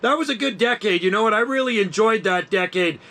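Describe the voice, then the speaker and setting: A man's voice: high-pitched, fairly quick, fairly bright tone. A man in his sixties chatting in an upbeat way on an amateur radio link, sharing stories about retirement life. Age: 60s. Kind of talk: casual radio chat.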